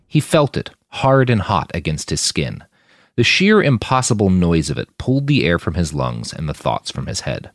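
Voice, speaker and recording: Guy reading an action novel out loud, deep voice, super animated, dry recording. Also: moderate pace, no room sound. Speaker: author narrating own fiction. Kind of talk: dramatic audiobook narration.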